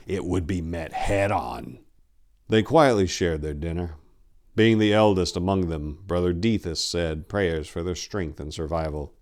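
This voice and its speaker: A man giving a solemn, deliberate dramatic reading of a dark fantasy horror story, in a theatrical manner. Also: low voice. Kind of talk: dramatic audiobook narration.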